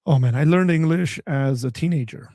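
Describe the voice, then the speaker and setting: Playful co-host. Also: male voice, a little high, moderate pace, mellow, dry recording, clean studio sound. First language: Armenian. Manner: playful, teasing. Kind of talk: casual chat.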